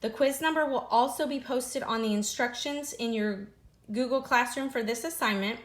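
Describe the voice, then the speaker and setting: Higher-voiced woman reading a picture book aloud. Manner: expressive, slows down on repeated phrases. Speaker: teacher reading to students. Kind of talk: read-aloud storytelling.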